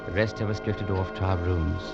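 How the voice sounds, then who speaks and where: An actor playing an earnest young gentleman, narrating a 1940s radio drama in a measured, grave way. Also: low voice, steady tone, vocal fry. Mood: grave, reflective. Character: earnest, gallant young English gentleman turned legionnaire, narrating. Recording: muffled 1940s radio recording, music behind.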